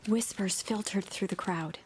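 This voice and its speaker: A woman's voice: mid-range voice, unhurried, husky. A woman reading dramatic storybook narration for a game. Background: music behind the voice.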